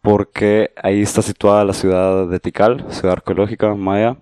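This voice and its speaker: A man from Guatemala in his thirties, with a Guatemalan Spanish accent, calmly and modestly explaining his country as a podcast guest. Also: on the low side, smooth, no room sound, studio quality. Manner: calm, measured, modest explainer. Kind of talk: casual explanatory interview talk.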